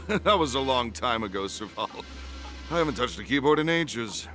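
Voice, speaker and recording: An actor playing a formal, slightly awkward security captain in a video game. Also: male voice, mid-range voice, sing-song, normal speed, sharp tone. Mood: wistful, polite. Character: formal security captain, stern with men, awkward with old friend. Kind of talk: video game character acting. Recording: game music behind the voice.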